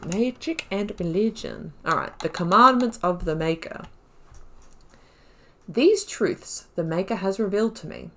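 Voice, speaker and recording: A woman's voice: medium pitch, animated, unhurried, pushed and bright. A woman hosting a gaming video, chatting casually through a playthrough and reading lore aloud.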